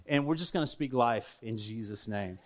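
A man's voice: medium pitch, normal speed. A man with a Southern American accent preaching a sermon as a pastor, in a casual, conversational way with frequent fillers and asides to the congregation. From United States. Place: church sanctuary.

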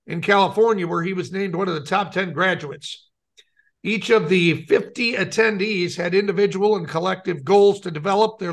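A man's voice: high-pitched, a bit bright. An American man co-hosting a radio show, giving local news and short comments.

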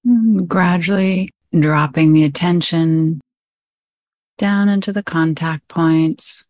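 A woman guides a meditation in a slightly low voice. She speaks very slowly, in short, gently inviting phrases separated by long pauses. The recording is dry and close, with no room echo. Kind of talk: guided meditation, slow spoken instruction.

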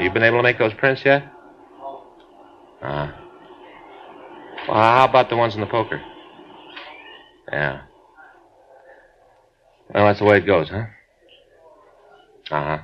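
A steady, low-key police detective who asks plain, businesslike questions in a deep voice. He comes through the dull, narrow sound of a 1950s radio broadcast. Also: a little hiss or hum. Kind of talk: 1950s radio drama acting.

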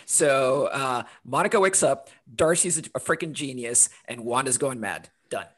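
A man from Honduras co-hosting a podcast, recapping a story in a friendly, quick-talking way with many uhs. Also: mid-range voice, a bit bright. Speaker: podcast co-host. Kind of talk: casual podcast chat.

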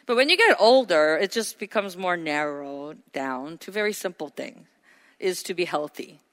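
A woman's voice: lowish voice, animated, normal speed, a bit bright. A woman pastor preaching a sermon, earnest and asking her congregation questions. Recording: hall reverb.

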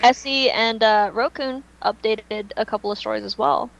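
A woman talks casually as a panelist on a fan podcast. She is emphatic and a little theatrical, gushing as she goes. The sound is dull and low fidelity. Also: fairly even intonation, normal speed, gravelly, dry recording.

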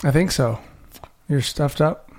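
A podcast host talking in a loose, rambling way, prone to giggles. The voice sits at an ordinary middle pitch, and the host sounds mildly high. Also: slow, a little mellow. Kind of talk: casual chat.

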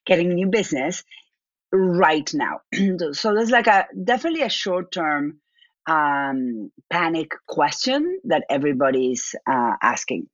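Low-voiced woman with an Argentine accent. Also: no echo, muffled recording. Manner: talks in restarts and repeats, thinking aloud. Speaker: business owner, guest. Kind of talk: interview answers.

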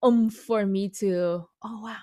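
A woman whose first language is Indonesian, a composer guest speaking English in a casual interview, halting with many fillers and restarts. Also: mid-range voice, steady tone, dark tone, no room sound, clean studio sound.

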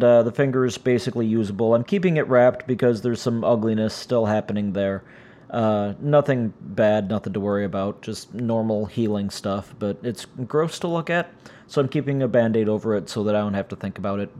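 Man, podcast host, raspy strained voice, casual rambling chat, lots of fillers, middling pitch. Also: darkish tone. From United States. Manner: rambling, frequent "uh" fillers, self-deprecating. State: raspy, strained voice from injured vocal cords. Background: cats making noise.

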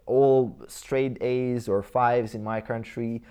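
A man's voice: medium pitch, steady tone, slow, warm tone, clear, resonant tone. Croatian-accented man telling his life story. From Croatia/Bosnia region. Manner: rambling, many fillers and restarts, reflective. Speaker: interview guest. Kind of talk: casual life-story interview.

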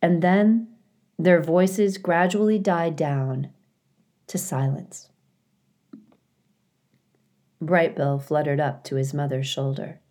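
A woman reading aloud as a storyteller, in an expressive style suited to a children's audiobook. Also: low voice, takes it slowly. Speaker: story reader, school staff.

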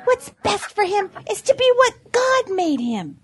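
A woman's voice: extremely high pitch, big pitch swings, unhurried, fairly bright tone, heavily acted. A woman voicing a sensible, pious female farm animal who soothes and moralizes earnestly in an audio drama. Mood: earnest, reasoning.

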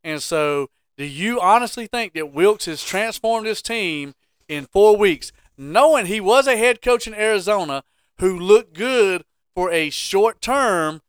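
A man's voice: high voice, lively intonation, unhurried, smooth, no echo, studio quality. A man co-hosting casual sports banter, teasing and challenging with questions. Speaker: podcast co-host.

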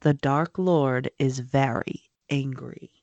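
A woman reading aloud and voicing a cold, controlled older male wizard who speaks flatly and quietly. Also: a bass voice, dry recording, highs cut off, voice pushed down, exaggerated voice. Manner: flat, quiet, measured delivery.

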